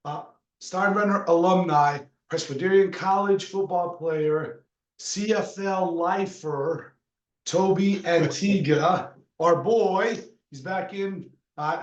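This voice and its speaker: A man from Canada hosting a casual podcast, talking fast and teasing his guests. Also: high voice, echoey room, rough recording. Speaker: podcast host. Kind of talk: casual chat.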